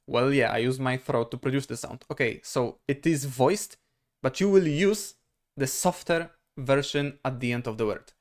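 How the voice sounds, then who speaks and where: A man with a Polish accent, a native speaker of Polish, teaches in an informal lesson style. His voice sits at an average male pitch. He moves through explanations methodically and haltingly, often checking himself with brief questions. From Poland. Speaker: language teacher.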